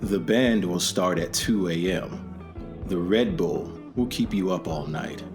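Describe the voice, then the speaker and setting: A man's voice: sits low, slow, music in the background. A man playing a brooding, theatrical goth boyfriend in a radio play, solemn and melodramatic.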